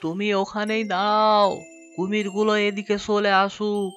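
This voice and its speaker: A man's voice: sits high, flat delivery, moderate pace, fairly bright tone, lo-fi sound, soft background music. A man playing a bumbling, good-hearted boatman in a cartoon story, explaining things earnestly. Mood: urgent scolding. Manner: explanatory, stumbling over words. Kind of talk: Bengali cartoon story dubbing.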